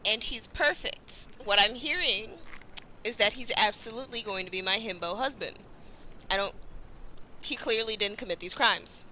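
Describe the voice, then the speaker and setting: High-voiced, dramatic woman game streamer. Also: moderate pace, sharp tone, a little hiss or hum, rough recording. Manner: dramatic, playful. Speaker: streamer playing a video game. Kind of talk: casual reactive gameplay commentary. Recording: game music under the voice.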